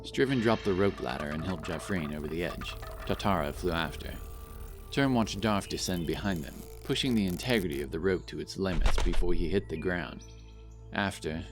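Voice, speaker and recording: A man reading scripted fantasy fiction as a dramatic audiobook narrator. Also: deep voice.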